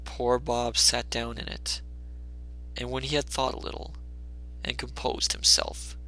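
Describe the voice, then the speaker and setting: A male narrator reads a storybook in an expressive, measured way. His delivery is slow, with a bright edge and a gravelly creak in the voice. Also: mid-range voice, poor audio.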